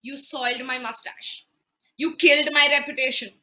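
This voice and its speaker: A woman voicing a stern, imperious sage husband who gives slow, deliberate orders and flies into shouting rage. Soft guitar plays behind her. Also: high voice, expressive, creaky, heavily acted. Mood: furious.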